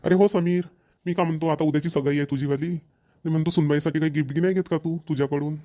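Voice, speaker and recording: A man's voice: on the high side, steady tone, fast, warm tone, close to an everyday voice. A man voicing an easygoing middle-aged village father in a cartoon, matter-of-fact and approving, with a Vidarbha Marathi accent. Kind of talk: amateur cartoon dialogue.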